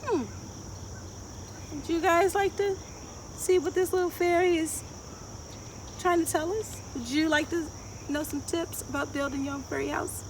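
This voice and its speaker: A woman in her thirties presenting to young children outdoors, asking them questions in an expressive sing-song way. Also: very high-pitched, measured pace, pauses often. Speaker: children's story time host and garden educator. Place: outdoors in a wooded garden. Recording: clear outdoor background noise, insects chirring. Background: insects and outdoor ambience.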